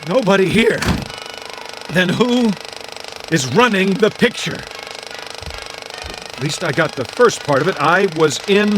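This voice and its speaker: An American actor in a radio drama playing a confused, lonely amnesiac Air Force man who talks to himself in halting, stammering repeats. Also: high voice, lively intonation, background noise. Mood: bewildered. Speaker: lead actor. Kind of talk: dramatic radio play acting.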